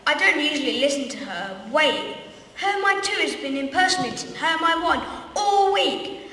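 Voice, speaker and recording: A woman's voice: high-pitched, lively intonation, moderate pace, sharp tone, exaggerated voice. A young woman playing a casual, lazy schoolboy wizard hero in a comic amateur radio play. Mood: explaining. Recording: very echoey hall from far off, faint noise.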